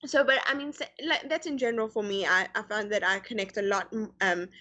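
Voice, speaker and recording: A woman's voice: medium pitch, brisk. A middle-aged woman from South Africa with a mixed American and Canadian accent, chatting as an interview guest with many fillers over a video call. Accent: mix of American and Canadian. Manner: chatty, many fillers, lively quoted voices. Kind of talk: casual interview chat.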